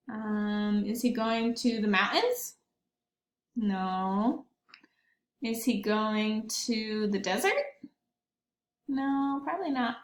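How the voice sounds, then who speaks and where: A woman's voice: a little high, vocal fry, reverberant. A woman teacher reading a picture book aloud to children, often stopping to ask them questions. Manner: warm, questioning, child-directed.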